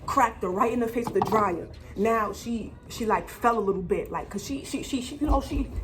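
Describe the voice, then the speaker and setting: A woman's voice: fairly high pitch, moderate pace, noisy background. A young adult woman telling a slangy, casual storytime as a vlogger, emphatic, dramatic and freely swearing, repeating phrases for effect. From United States.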